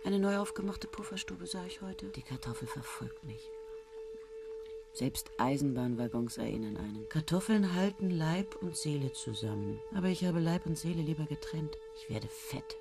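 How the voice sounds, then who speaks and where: A woman's voice: sits low, animated, moderate pace, gravelly, music in the background. An actress playing a young female student who narrates a radio play in a wry, brooding way and bitterly hates potatoes. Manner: wry, brooding, clipped short sentences.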